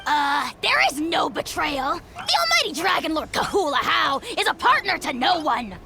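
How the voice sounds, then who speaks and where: An actress voicing a tiny, boastful dragon spirit who speaks pompously and theatrically, with mocking grandeur. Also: female voice, high-pitched, sing-song, normal speed, vocal fry, crowd noise, exaggerated voice. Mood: haughty. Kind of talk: video game voice acting.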